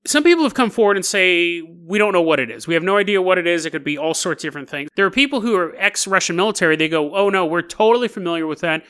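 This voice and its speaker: Male podcast host, high-pitched, bright forceful tone, casual comedic storytelling, animated and jokey delivery. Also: fast talker, few pauses, clean tone. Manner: animated, jokey, laughs at own asides.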